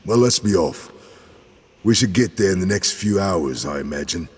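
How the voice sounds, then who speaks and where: An actor playing a steady, cautious warrior companion in a fantasy audio drama, measured and understated with dry humour. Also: male voice, low voice, breathy edge. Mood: dryly amused. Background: faint music.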